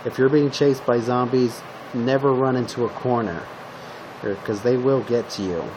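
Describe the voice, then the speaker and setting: A man plays a male zombie apocalypse survivor passing on tips in an improvised roleplay. His voice sits at an ordinary pitch and he talks in a conversational, matter-of-fact way, often halting and repeating himself. A clear steady noise runs behind the homemade recording. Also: lightly acted. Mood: instructive, cautioning. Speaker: roleplay video host. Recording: steady background hum, noisy home recording.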